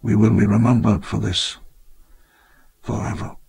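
A slow, gravelly old park founder. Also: male voice, lowish voice, flat delivery, darkish tone, a little hiss or hum. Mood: reflective. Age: older. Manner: measured, reflective, grandly solemn. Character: elderly grandiose dinosaur-park founder, wistful. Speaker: narrator of his own memoir. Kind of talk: scripted memoir narration for a game.

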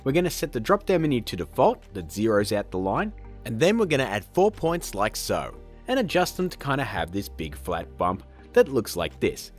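A man hosts a casual software tutorial in an upbeat, playful way, tossing in joking asides. His pitch leaps about with great animation, and soft music sits faintly underneath. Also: wildly swooping pitch, moderate pace. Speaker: tutorial host.

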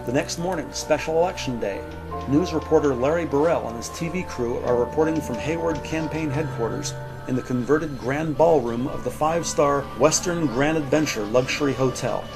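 A man narrating a crime audio drama from a script, measured and descriptive. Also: mid-range voice. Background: music.

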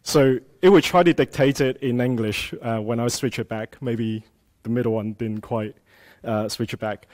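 A man's voice: mid-range voice, fairly even intonation, normal speed, a little mellow. A man from Hong Kong, a native Cantonese speaker, giving a live conference tech talk in a casual style with many fillers. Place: conference room with audience.

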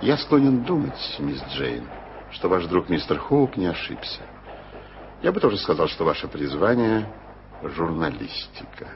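An actor playing a powerful statesman prince who speaks in a measured, ironic and commanding way in an old radio play. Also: low-pitched, big pitch swings, full character voice. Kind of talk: 1980s radio play acting. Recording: hissy, dull old radio recording.

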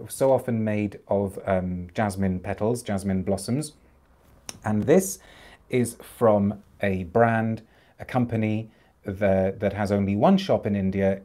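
A man, hosting an unscripted perfume review live stream from a small room at home, expressive and enthusiastic and reading out viewer comments. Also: fairly low pitch. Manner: enthusiastic, expressive, gestures a lot, frequent restarts. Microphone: camera at desk distance, seated.

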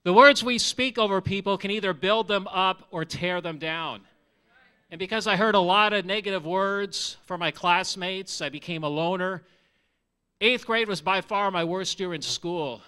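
A man's voice: high-pitched, moderate pace, pushed and bright, clear, resonant tone. A man in his forties with a Minnesota accent, giving his testimony from a church stage on a handheld mic in an earnest, conversational way. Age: 40s. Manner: earnest and conversational, asks the audience questions. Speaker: missionary. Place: large church sanctuary with audience. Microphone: handheld microphone.

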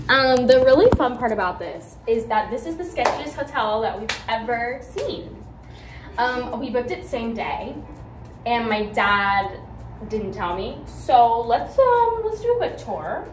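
An eighteen-year-old woman vlogging into a phone held at arm's length, chatting casually with a sarcastic, deadpan edge. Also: fairly high pitch, poor audio. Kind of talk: casual vlog chat. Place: car, then small hotel room.

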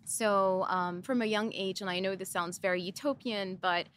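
A woman's voice: medium pitch, steady tone, moderate pace. A woman in her thirties, from Jordan, talks things through at an easy pace in a studio. Her voice sits in a middle range, and a faint hum of ambience lies underneath. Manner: thoughtful, warm, frequent ums and soft laughs. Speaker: podcast guest, entrepreneur. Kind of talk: conversational interview answers. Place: podcast studio.

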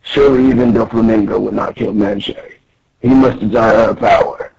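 A man voicing a grave older king from a comic, stately and solemn, with a husky rasp. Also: lowish voice, fairly even intonation, brisk, darkish tone, full character voice. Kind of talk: amateur comic read-aloud. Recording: dull lo-fi voice recording.

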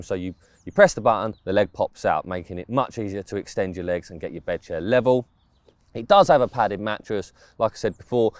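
A man's voice: lowish voice, no echo. A man, talking casually through a product review while standing outdoors in woodland. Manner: chatty, informal, explains specs step by step. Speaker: fishing gear reviewer.

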